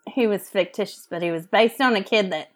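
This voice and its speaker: A woman's voice: mid-range voice, brisk, voice pushed down, close to an everyday voice. A woman improvising a prim, gossipy small-town woman. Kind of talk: improv comedy podcast.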